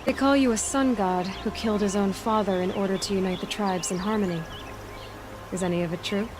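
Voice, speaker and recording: A woman's voice: mid-range voice, steady tone, fairly quick, background noise, natural voice. A woman playing a young huntress heroine in a video game, speaking in a direct, inquisitive, plainspoken way. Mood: direct, curious. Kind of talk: scripted video game dialogue.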